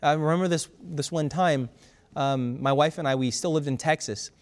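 High-voiced preacher in an echoing hall. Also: male voice, normal speed. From Brazil. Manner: loud, fervent preaching with emphatic repetition. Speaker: pastor. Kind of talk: sermon. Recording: long hall reverb.

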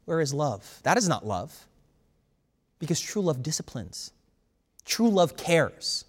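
A man, a pastor, preaching a sermon in a loud, fervent way, with emphatic repetition. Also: fairly high pitch, sing-song, takes it slowly, slightly rough. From Brazil. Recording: long hall reverb.

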